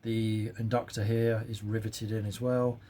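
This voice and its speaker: A man's voice: fairly low pitch, level tone, a little slow, dark tone, a little hiss or hum. A man giving casual, hands-on workshop commentary in a matter-of-fact, explanatory way. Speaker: host, audio repair hobbyist or technician.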